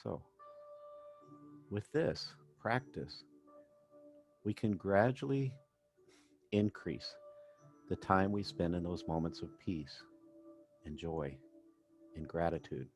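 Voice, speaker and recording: A man working as a meditation coach, narrating a guided session in gentle, measured phrases with pauses. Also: low voice. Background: background music.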